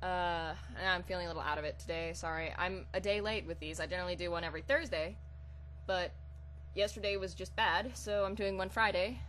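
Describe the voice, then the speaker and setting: A woman's voice: lowish voice, fairly even intonation, a little fast, fairly bright tone. Woman reader over a noisy hum. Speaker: host of a weekly fan fiction reading. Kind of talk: casual intro, then dramatic comic storytelling read aloud. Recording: audible background hum and hiss.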